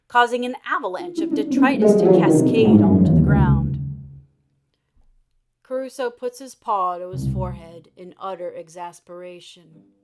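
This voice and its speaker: Slow, theatrical woman reading a children's story. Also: medium pitch, darkish tone. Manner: theatrical, emphatic pauses. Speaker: read-aloud storyteller. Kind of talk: expressive children's story narration.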